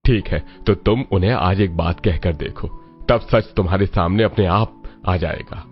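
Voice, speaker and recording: A man's voice: low voice, moderate pace, highs cut off, soft background music. A man voicing a wise, reproachful older father in a cartoon family drama, admonishing in tone. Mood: challenging. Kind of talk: Hindi cartoon moral-story voice acting.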